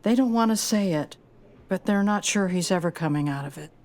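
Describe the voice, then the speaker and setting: An actress playing a protective, troubled, tough-minded granddaughter in an audio drama, earnest in manner. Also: female voice, mid-range voice, lively intonation, brisk, a little mellow, natural voice. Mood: gentle, upset.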